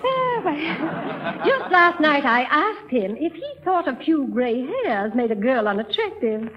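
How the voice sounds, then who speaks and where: A woman's voice: high-pitched, sing-song, measured pace, a crowd in the background. A woman playing a gushing, lovestruck middle-aged society lady with a fluttery, affected genteel manner in 1930s radio comedy. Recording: dull vintage 1930s radio recording.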